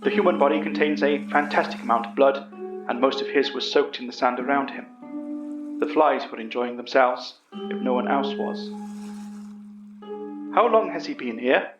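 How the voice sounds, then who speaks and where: A man's voice: medium pitch, steady tone, brisk, reverberant, recorded from a distance, over music, poor audio. A man reading scripted first-person horror narration.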